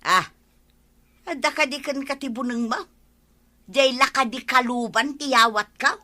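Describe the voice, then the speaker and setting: Village wife: nagging, thrifty, affectionate; bright, forceful middle voice, dry studio sound, comedy radio drama. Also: female voice, sits high, measured pace, no room sound. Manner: scolding, exclamatory, colloquial.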